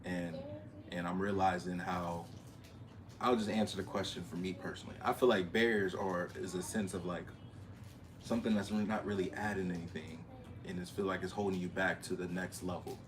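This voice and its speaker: A young man with a baritone voice chatting in a laid-back, slangy way as a panelist in a living room. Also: steady tone, brisk. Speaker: panelist on a family live stream. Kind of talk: casual chat. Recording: clear background noise in room.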